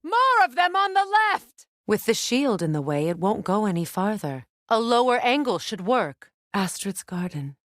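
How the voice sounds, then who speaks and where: An actress playing a composed warrior goddess in a video game, speaking in an earnest, formal manner. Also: female voice, medium pitch, sing-song, normal speed, hardly any pauses, a bit bright, dry recording, studio quality. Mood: urgent battle shouts. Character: grave, composed warrior goddess, female companion in battle. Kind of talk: dramatic video game dialogue.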